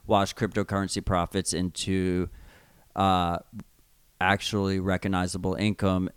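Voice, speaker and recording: Blunt New York man, smooth low voice. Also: level tone, unhurried, no echo. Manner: blunt, swears casually, trails off and restarts. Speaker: podcast co-host. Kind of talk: casual podcast conversation.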